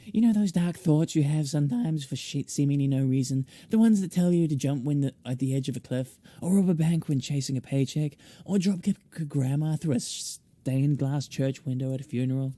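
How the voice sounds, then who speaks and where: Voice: mid-range voice, fairly quick, few pauses, dark tone, no echo, natural voice. A game player voicing a macabre, theatrical woman, a former shaman who tells grandiose, droll tales. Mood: conspiratorial.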